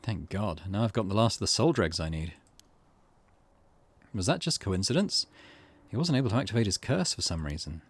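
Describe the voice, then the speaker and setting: A man acts out a smug, scheming man with a deadly curse in a visual novel game. He gloats to himself with lively intonation while music plays underneath. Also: lowish voice, moderate pace, pauses often, slightly rough, heavily acted. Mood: gloating relief. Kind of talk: visual novel dialogue read aloud.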